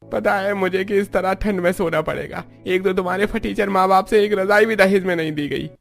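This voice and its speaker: Man voicing cartoon husband, high-pitched, gravelly, grumbling, taunting, resentful of poverty. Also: fairly quick, faint music behind. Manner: complaining, taunting. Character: bitter, grumbling husband in a poor household. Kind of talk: Hindi cartoon story acting.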